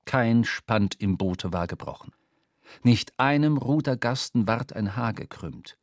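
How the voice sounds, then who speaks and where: A man narrating a literary radio drama from a script, storytelling in measured, formal diction. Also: mid-range voice, animated, dry recording, studio quality. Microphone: close studio microphone.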